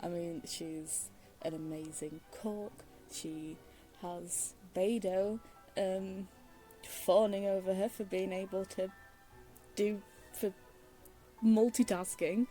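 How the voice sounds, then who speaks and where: A woman's voice: medium pitch, dark tone. A woman giving casual let's play commentary on a video game in a hesitant, wry, giggly manner. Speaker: gamer commenting on a playthrough.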